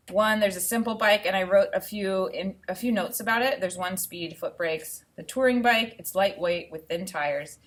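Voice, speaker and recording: A woman teacher reading aloud to children and thinking out loud, explaining things step by step in an instructive way. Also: fairly low pitch. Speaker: elementary school teacher.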